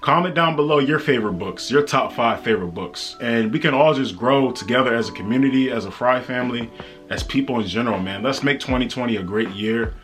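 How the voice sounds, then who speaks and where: A man's voice: medium pitch, fairly quick, fairly bright tone, faint music behind. An American man talking casually and earnestly to viewers as a vlogger, in a slangy, conversational, motivational way. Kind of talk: casual motivational book talk to camera.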